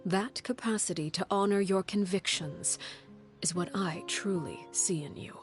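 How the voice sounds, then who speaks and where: A woman playing a stern, composed leader of a secret family in a video game, speaking in a measured, formal, authoritative way. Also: medium pitch, faint music behind, natural voice. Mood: firm, grave. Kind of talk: scripted video game dialogue.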